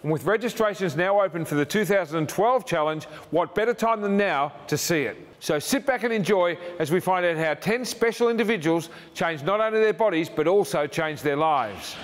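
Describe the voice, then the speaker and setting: A man's voice: high voice, lively intonation, normal speed, few pauses. A middle-aged man hosting a TV show to camera in a gym, enthusiastic and calling viewers folks. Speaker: TV show host. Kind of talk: upbeat presenting to camera. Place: indoor gym. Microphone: standing to camera, medium shot. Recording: reverberant gym with faint music.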